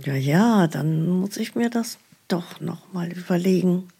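An actress playing a hesitant, gullible elderly care home resident in a naturalistic radio drama, with a husky, creaky voice. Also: female voice, on the low side, lively intonation, dark tone, heavily acted. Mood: doubtful, puzzled. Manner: slow, hesitant, naively questioning.

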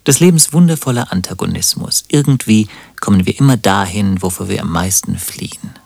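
A man's voice: fairly low pitch, a little fast, gravelly, a little mellow, slightly rough, faint music behind. An actor in a radio play playing a sardonic, self-pitying man with a dry, ironic way of talking. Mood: wry, melancholic. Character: sardonic, self-pitying lovelorn man narrating his own misery. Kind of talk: radio play narration and dialogue.

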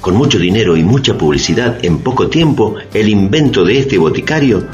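A man's voice: lowish voice, lively intonation, fairly quick, darkish tone, muffled recording, soft background music, lightly acted. A man playing a knowing narrator and commentator in scripted, dramatic radio theatre.

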